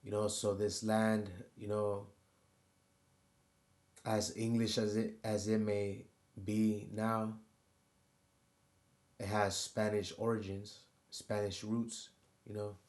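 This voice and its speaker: A man with a low, level voice muses aloud in a loose, unscripted monologue. He pauses often and leans on "you know" and "right?" to keep his thoughts moving. The delivery stays even and unhurried, with little rise or fall. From United States. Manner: halting, filler-heavy, keeps adding "you know" and "right?". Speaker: vlogger. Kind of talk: rambling personal monologue.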